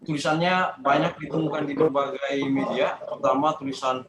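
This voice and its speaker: A man with an Indonesian accent casually hosting and introducing an online talk, halting as he feels for words. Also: mid-range voice, fairly even intonation, loud music behind the voice. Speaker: host introducing the session. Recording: roomy echo, clearly noisy online call.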